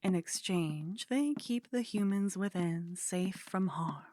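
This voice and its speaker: A woman playing a teasing, condescending female vampire in a soft ASMR roleplay, languid and haughty, often slipping into whispers. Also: lowish voice, slow pace, slight background noise. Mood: sly, condescending. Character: teasing, condescending female vampire, sly, centuries-worldly contact.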